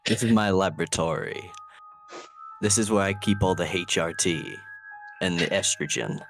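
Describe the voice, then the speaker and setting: A man voicing a menacing, domineering shadowy hedgehog villain, deadpan, in an improvised comic game dub. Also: deep voice, normal speed. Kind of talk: improvised comedic video game fandub. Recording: clear music under the voice. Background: music.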